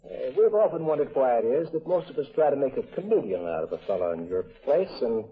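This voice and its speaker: A man's voice: medium pitch, big pitch swings, fast talker. A man doing an informal radio interview, hesitant and earnest as he searches for his words. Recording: tinny, narrow old radio recording.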